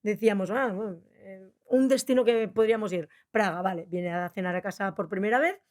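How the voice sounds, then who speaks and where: A woman hosts a podcast in relaxed conversation. She tells lively stories full of quick asides, her pitch sitting in a middle range for a woman. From Costa Brava, Spain. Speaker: podcast host and interviewer. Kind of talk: casual interview chat.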